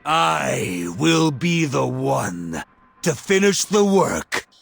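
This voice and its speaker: An actor playing a cold, self-satisfied scientist villain in a video game, delivering a theatrical, gloating monologue with drawn-out emphasis. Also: male voice, fairly high pitch, big pitch swings, creaky, heavily acted. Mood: exultant. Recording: echoey, music underneath.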